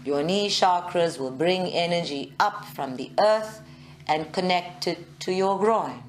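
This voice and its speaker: A woman guiding a live meditation in a measured, soothing way, with long pauses. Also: fairly low pitch. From South Africa. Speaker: meditation guide. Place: group meditation session at a centre, recorded live.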